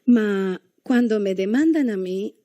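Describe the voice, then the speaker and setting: A woman from Argentina with an Argentine accent, giving a university lecture with small pauses and hesitations. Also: medium pitch, expressive, dark tone, lo-fi sound. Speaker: guest lecturer.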